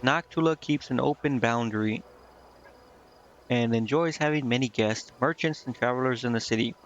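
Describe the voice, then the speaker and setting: A man with a mid-pitched voice streams a game, reading text aloud in a casual way. He trips over words at times and corrects himself. The sound is a little dull, with music playing behind him. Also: normal speed.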